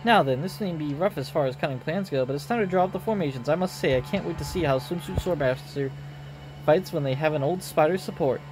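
A man's voice: on the high side, fast, over music, close to an everyday voice, rough recording. A man voicing a sly older male game villain, courtly and smug. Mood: smug, scheming.

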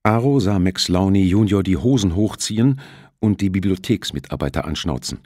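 A man's voice: low-pitched, animated, moderate pace, dark tone, no echo, clean studio sound. A man narrating a thriller audiobook in a scripted, storytelling style.

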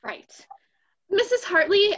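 A naive, gushing young lady, acted by a young woman for a stage comedy. Her girlish voice is very high and sharp, eager in delivery, over slightly muffled video-call sound. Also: takes it slowly, exaggerated voice. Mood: chirpy, curious. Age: young adult. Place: indoors at home, video call.

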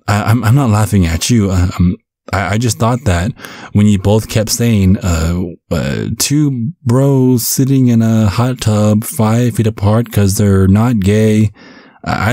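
Man as a deep-voiced, gentle vampire dad. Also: no room sound, clean studio sound, close to an everyday voice. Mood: surprised, concerned. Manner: gentle, stammering, chuckling reassurance. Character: caring, bumbling vampire father, looks twenty-five, over a century old. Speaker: ASMR roleplay performer. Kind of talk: ASMR audio roleplay.

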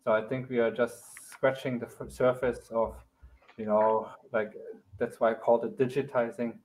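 A man's voice: medium pitch, flat delivery, moderate pace, darkish tone, a little hiss or hum. A middle-aged man giving a conference keynote from home over a video call, loose and conversational, often checking in with "right?" and filler "um"s. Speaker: keynote speaker. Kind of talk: academic conference talk. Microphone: webcam or laptop mic on a video call. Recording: phone-like narrow band.

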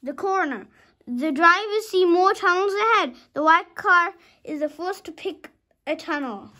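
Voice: very high voice, expressive, measured pace, pushed and bright. A young child of about five reading a storybook aloud dramatically, sometimes stumbling over words and rereading them.

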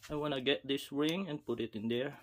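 A man casually narrating his own hands-on fishing rod repair to viewers, talking to himself between steps at an unhurried pace. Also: medium pitch, noisy background. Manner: unhurried, self-talk with pauses, addresses viewers as guys. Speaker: fishing video host. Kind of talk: casual how-to narration while working. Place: indoors at a wooden table.

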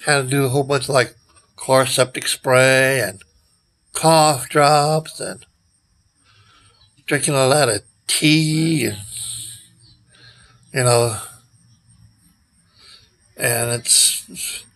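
Slow-talking older man, halting casual vlogger. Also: medium pitch, fairly bright tone. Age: older, around 60s. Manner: halting, unhurried, trailing off. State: recovering from nearly losing his voice. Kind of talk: rambling casual selfie vlog. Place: outdoors beside a brick building. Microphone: handheld phone at arm's length.

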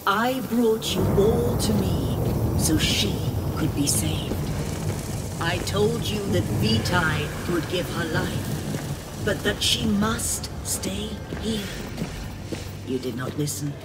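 A woman playing a solemn, possessive otherworldly being in a video game, speaking in a measured, stately way with cold tenderness. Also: medium pitch, lively intonation. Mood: solemn, resolute. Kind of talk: dramatic video game voice acting. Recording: loud game music behind the voice.

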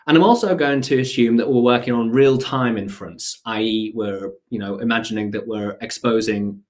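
A man in his forties with a cold and a slightly croaky voice, giving a live-streamed technical talk from his home study, chatty and full of fillers and restarts. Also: medium pitch, normal speed. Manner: conversational, frequent fillers and self-corrections. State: has a cold, voice a bit croaky. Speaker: company CEO presenting a technical talk. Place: home study with bookshelves, indoors.

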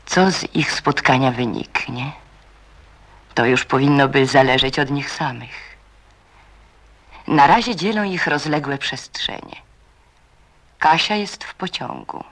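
A narrator reading a radio drama in a measured, suspenseful way, hushed at times. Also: mid-range voice.

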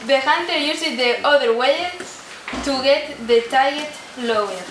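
Teenage female narrator, Spanish accent, high voice, halting storytelling, noisy distant recording. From Spain. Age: teens. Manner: halting reading in non-native English. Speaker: student narrator of a puppet show. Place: indoor room at a day care centre. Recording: echoey room from afar, noisy.

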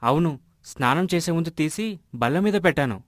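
A man plays An earnest, generous villager from an animated moral tale. He explains things plainly in a slightly raised male voice, with a dry, close sound. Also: on the high side, moderate pace, dry recording, lightly acted. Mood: concerned. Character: earnest, generous village husband missing a ring. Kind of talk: animated moral story dialogue.

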